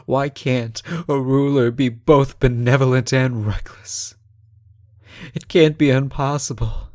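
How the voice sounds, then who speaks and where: A man playing a lovesick, anguished captive ruler in a fantasy romance audio drama, halting and often dropping toward a whisper. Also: mid-range voice, fairly even intonation, a little mellow, lightly acted. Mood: defensive, impassioned. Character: captive reckless but benevolent male ruler, lovesick and anguished. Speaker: audio roleplay performer.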